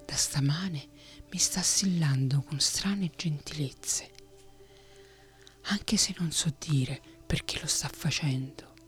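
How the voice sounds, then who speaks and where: A woman whispering the part of an ambitious, flattering noble queen in a fantasy audiobook. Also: sits low, takes it slowly, faint music behind. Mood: coaxing.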